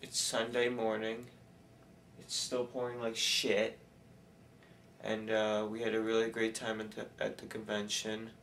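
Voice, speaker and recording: A man's voice: on the low side, completely flat delivery, a little slow, fairly bright tone, distant mic, a little hiss or hum. A young man vlogging casually with a handheld camera as he walks through a hotel and talks in his room, rambling with fillers. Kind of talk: casual handheld vlog narration. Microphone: handheld camera mic.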